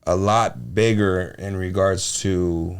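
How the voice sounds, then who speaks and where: A man with a deep voice co-hosting a podcast in casual chat, often restarting his sentences. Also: takes it slowly, clear, resonant tone. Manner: restarts and trails off often, conversational. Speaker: podcast co-host and freelance voiceover artist.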